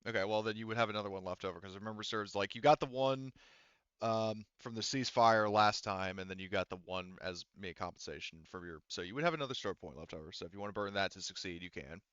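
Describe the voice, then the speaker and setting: Low, clear-voiced male game master. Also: fast, a bit bright, no echo, highs cut off. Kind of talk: casual tabletop game narration.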